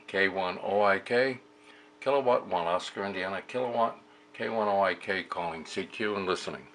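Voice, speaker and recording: Low-voiced man, halting, with noisy background. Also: a bit bright. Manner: halting, muttering to himself while working. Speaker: amateur radio operator demonstrating vintage gear. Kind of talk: casual hands-on demonstration. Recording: clearly noisy room recording.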